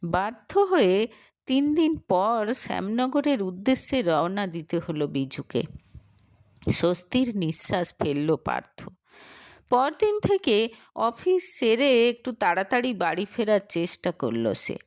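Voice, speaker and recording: A woman's voice: mid-range voice, sing-song, measured pace, darkish tone, no room sound. A woman reading a scripted story as a narrator, in a dramatic storytelling style.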